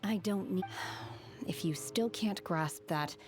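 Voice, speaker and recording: A woman voicing a stern, reserved, quietly impatient young woman in a video game, cool and terse. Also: lowish voice, slow pace, music in the background. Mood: cold, annoyed.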